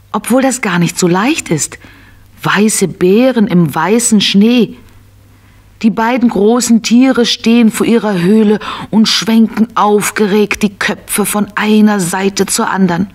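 A woman narrating a scripted children's tale in an expressive, simple storytelling manner. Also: medium pitch, a little slow, natural voice.

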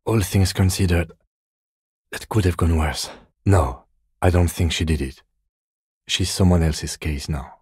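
A very deep-voiced, composed police detective for a video game. He speaks in a measured, deadpan way, with a mellow, husky tone and a dry, close sound. He comes across as dutiful and restrained. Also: no room sound, full character voice. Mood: calm, dry. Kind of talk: video game dialogue acting.

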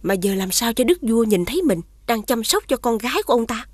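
A woman's voice: on the high side, big pitch swings, fairly quick, dry recording, clean studio sound. A woman voicing a scheming witch posing as the queen's sister. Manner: haughty, commanding to servants, sweet to the king. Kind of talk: dubbed cartoon acting. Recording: cartoon soundtrack with music behind.

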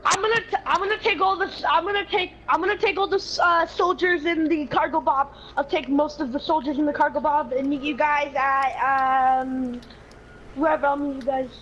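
A young-sounding, high-pitched voice in casual game roleplay. The talk is halting, with repeated words and restarts, over faint background noise. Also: fairly even intonation, a bit bright, dull audio. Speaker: player in a multiplayer game roleplay. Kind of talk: casual improvised roleplay chat during gameplay.

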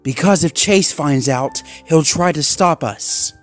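A man's voice: on the high side, slow pace, faint music behind, voice pushed up. A man voicing a determined young male Labrador dog who talks in an intense, resolute way. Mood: scheming.

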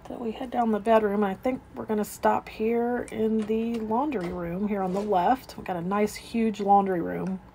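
A woman's voice: mid-range voice, steady tone, normal speed, few pauses, warm tone, slight background noise. A woman real estate agent narrating an informal, unscripted walkthrough of a home, describing each room as she moves through it. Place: indoors, moving through rooms of a house.

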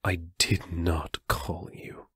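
Grave male mentor, played by a man, low and measured, close dry sound, unhurried old-ballad delivery. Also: level tone, sharp tone, husky, no room sound, exaggerated voice. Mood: firm. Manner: measured, solemn. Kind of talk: audio play acting with verse recitation.

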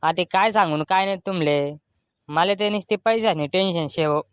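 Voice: mid-range voice, a little fast, clean tone, no echo, natural voice. A performer voicing a worried, broke village man in a comedy cartoon, with a Khandeshi Ahirani accent.

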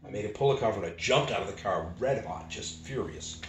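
Older man, from Boston, street preacher, animated storytelling, distant camera, noisy home video. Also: fairly low pitch, fast talker, reverberant. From Boston, United States. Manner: animated, acts out dialogue with hand gestures. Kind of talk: personal testimony storytelling. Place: small home living room, indoors. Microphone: camera a few feet away.

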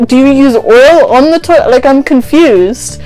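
A woman's voice: high-pitched, normal speed, soft background music, poor audio. A woman in her twenties telling a story in casual, giggly chat, as a vlog host recording at home. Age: 20s. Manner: animated, giggly. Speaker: vlogger, host. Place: small bedroom, indoors.

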